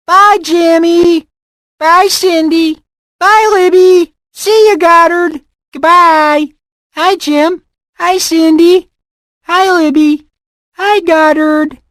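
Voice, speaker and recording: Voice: extremely high pitch, fairly even intonation, slow, a bit bright, no echo, clean studio sound, heavily acted. An actor voicing a timid, whiny young cartoon boy in a game, with a nasal, wheezy voice and an anxious, hesitant manner. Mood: friendly.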